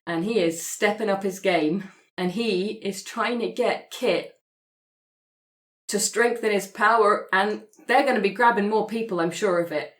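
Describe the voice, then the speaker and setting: A woman's voice: medium pitch, a little slow. A woman playing a tabletop roleplaying game, talking conversationally in and out of character. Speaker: player in an actual-play podcast.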